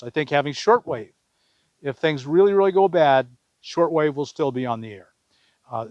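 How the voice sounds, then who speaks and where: A man's voice: a little high, no echo, muffled recording. A man hosting a casual product review, talking plainly to viewers and repeating words for emphasis. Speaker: video host reviewing products.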